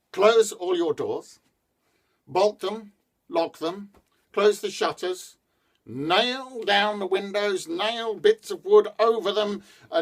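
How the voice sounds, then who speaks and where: A man's voice: high voice, a bit bright, pitched above the performer's own voice, full character voice. A man voicing a flustered old holy man rattling off urgent instructions.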